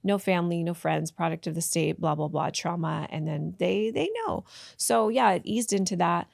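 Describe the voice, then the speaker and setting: A woman with a low, gravelly voice, recorded dry and close. She tells her story candidly and reflectively, often pausing to restart a thought. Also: dry recording. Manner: frank, reflective, with restarts and soft asides. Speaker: podcast guest, tantra teacher.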